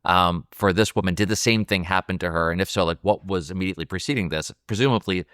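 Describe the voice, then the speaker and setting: A man's voice: deep voice, fast talker, fairly bright tone, clear, resonant tone, no room sound, clean studio sound. A man co-hosting a film discussion, working through his questions aloud in a casual way. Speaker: podcast co-host analyzing a film.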